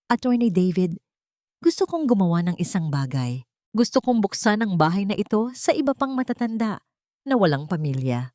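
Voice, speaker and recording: A woman voicing a gentle, resolute elderly grandmother in an audio story, earnest and heartfelt. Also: mid-range voice, big pitch swings, normal speed, dry recording, studio quality, lightly acted. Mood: hopeful, resolute.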